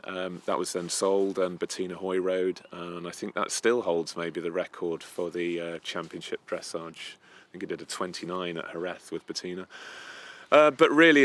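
Low flat-voiced man, halting. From North Wales. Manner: hesitant, many ums and restarts. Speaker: event rider interviewee. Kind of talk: casual interview answers. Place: outdoor terrace by a house.

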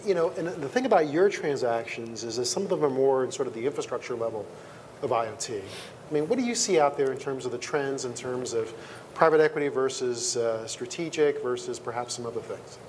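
A man's voice: mid-range voice, expressive, fairly quick, a little mellow, a little hiss or hum. A middle-aged man moderating a panel on a conference stage in a conversational way. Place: conference stage before an audience.

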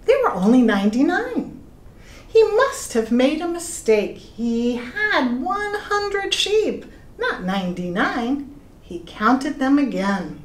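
A middle-aged woman reading a children's story aloud in a deliberate, expressive way, addressing young listeners. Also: sits high. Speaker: pastor reading stories to children. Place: indoor room with plain walls. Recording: echoey room.

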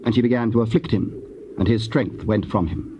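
A man's voice: lowish voice, warm tone. Solemn male scripture narrator on dull cassette. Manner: measured, formal. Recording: dull, faintly noisy cassette recording.

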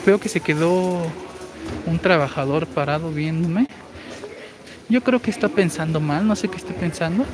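A man in his forties with a high, girlish-sounding voice, chatting through a live store walkthrough and reading viewer comments. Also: normal speed. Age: 40s. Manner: chatty, explains deals while walking. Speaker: shopping deals streamer. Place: large indoor supermarket. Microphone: phone held while walking. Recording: noisy store ambience.

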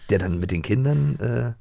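A man's voice: medium pitch, animated, slow, gravelly, darkish tone, no echo. A man co-hosting a podcast in casual chat, rambling and jokey with lots of restarts. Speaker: podcast co-host.

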